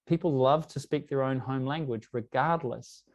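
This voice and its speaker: A man's voice: medium pitch, moderate pace, darkish tone. A man from New Zealand with a New Zealand English accent, presenting an online workshop in a conversational way, often saying "you know". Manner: conversational, uses many fillers like "you know". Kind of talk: online business workshop talk. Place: lives in London.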